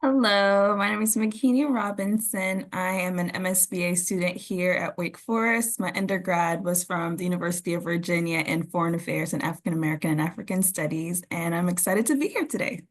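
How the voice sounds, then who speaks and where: A woman's voice: fairly low pitch, brisk, hardly any pauses. A woman in her twenties from Jamaica, a graduate student chatting casually and upbeat. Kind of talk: casual conversation.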